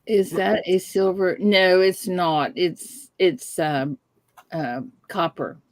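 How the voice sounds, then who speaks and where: Middle-aged woman, Texan Southern accent, chatty and giggly, unhurried, dry close sound. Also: on the low side, steady tone, dry recording. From Texas. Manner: chatty, giggly, greets viewers by name. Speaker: host. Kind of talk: live-stream casual chat.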